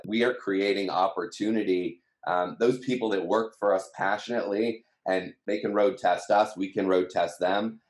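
A man speaking as an interview guest, explaining things earnestly in a conversational way. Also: sits low. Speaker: company team member, interview guest. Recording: echoey room sound.

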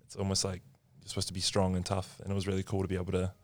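A man's voice: deep voice, monotone, rapid-fire. A man in his twenties with a New Zealand accent, a podcast guest telling his story in an earnest, reflective way. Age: 20s. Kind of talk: casual chat.

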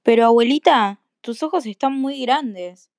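A woman's voice: fairly high pitch, unhurried, darkish tone, no echo. Naive, polite storybook girl, played by a woman, radio play, dry recording. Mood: uneasy, puzzled. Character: innocent, trusting young girl of a fairy tale. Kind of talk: school radio play acting.

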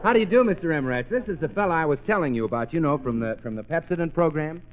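A man's voice: on the high side, expressive, racing, slight background noise, natural voice. A man playing an easygoing, cheerful pal, a friendly straight man in a 1930s radio comedy. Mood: affable. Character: cheerful, easygoing pal eager to double-date. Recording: thin, narrowband old radio, faint audience.

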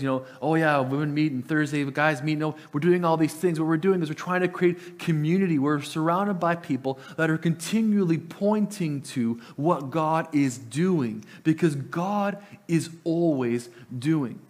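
A man's voice: on the high side, moderate pace, few pauses. A man preaching a sermon, earnest and often repeating words as he starts a sentence; Italian was his first language. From Italian family. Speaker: pastor.

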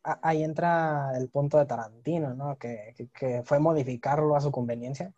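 A man's voice: on the high side, fairly even intonation, moderate pace, dark tone, no echo, dull audio. A young man with a Mexican accent explaining things in casual conversation, often checking for agreement. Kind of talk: casual chat.

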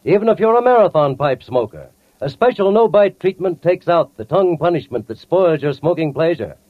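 A man's voice: on the high side, expressive, fairly quick, dry recording. A man reading a scripted advertisement in 1940s radio announcing style. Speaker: commercial announcer. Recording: tinny, narrow 1940s radio sound.

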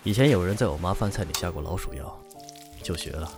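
Reserved, very low-voiced boss. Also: male voice, expressive, fast, over music. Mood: understated. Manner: terse, understated. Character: reserved, caring male company boss. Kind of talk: Chinese audio drama acting.

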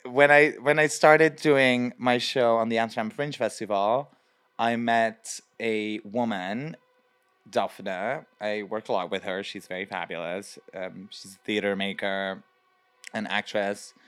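Chatty young Belgian drag performer, low voice. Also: male voice, a little slow, clean tone. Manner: chatty, lots of fillers, animated quoting. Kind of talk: casual interview.

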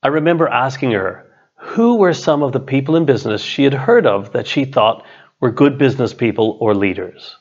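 A middle-aged man, an author and coach, reads his own written text as scripted narration in a measured, deliberate way. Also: mid-range voice, lively intonation, lo-fi sound. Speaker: author, business and leadership coach.

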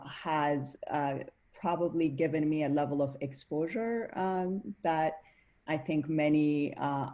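A woman from Ethiopia talks as an interview guest, thoughtful and unhurried, leaning on frequent fillers as she gathers her words. Her voice is mellow and dark, with a notably even, flat melody. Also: deep voice, muffled recording. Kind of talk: reflective interview talk.

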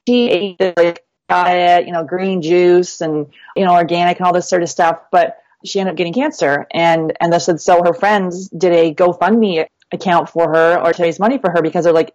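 Woman, low voice, chatty explainer, muffled lo-fi recording. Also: darkish tone, no echo. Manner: chatty, explains step by step with many "you know" and "like" fillers. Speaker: fertility coach and guest. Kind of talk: casual explanatory interview talk.

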